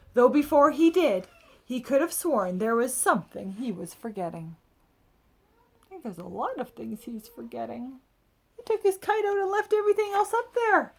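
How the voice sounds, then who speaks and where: A woman reading a picture book aloud to children, with expressive dramatic emphasis and chatty asides to her listeners. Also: on the high side, slightly rough.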